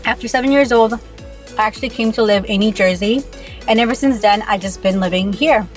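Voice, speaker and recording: Young woman, casual vlogger chatting between bites, mid-pitched voice, soft music underneath. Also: brisk. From Peru. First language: Spanish. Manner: chatty, keeps saying "you guys", talks while eating. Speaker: beauty YouTuber. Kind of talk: casual mukbang vlog chat.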